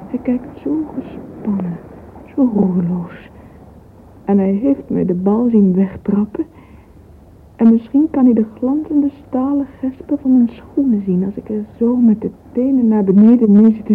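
A woman's voice: medium pitch, close to an everyday voice. An actress playing a dreamy, yearning, romantic young woman in a radio drama monologue. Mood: yearning, fascinated. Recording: thin phone-like old radio recording, noisy.

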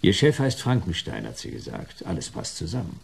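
An actor playing a wry, hard-boiled private detective in a German radio play, husky and gravelly, with a dry, laconic delivery. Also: male voice, sits low, wildly swooping pitch, brisk, heavily acted. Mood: sceptical.

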